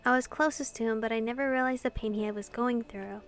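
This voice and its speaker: A woman reading visual novel dialogue and narration aloud in a casual, expressive way. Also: on the high side, a little fast, faint music behind. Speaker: playthrough host reading translated dialogue.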